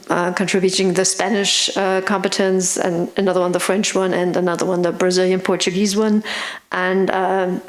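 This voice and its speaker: A woman academic speaking on a panel, hesitant with many pauses and restarts. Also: fairly low pitch. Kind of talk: panel discussion.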